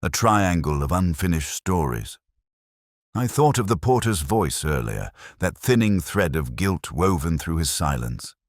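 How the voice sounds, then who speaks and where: A man with an extremely low voice reads a scripted mystery narration. His delivery is solemn and brooding, rising and falling expressively. The sound is close and dry, with little room around it. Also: animated, measured pace, dry recording. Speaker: narrator of a detective story. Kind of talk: scripted literary narration, first-person mystery.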